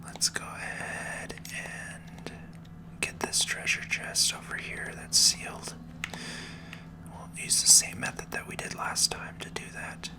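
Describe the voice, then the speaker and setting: A host whispering gentle, unhurried ASMR commentary over a video game playthrough, in a soft, breathy, soothing voice. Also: low-quality recording.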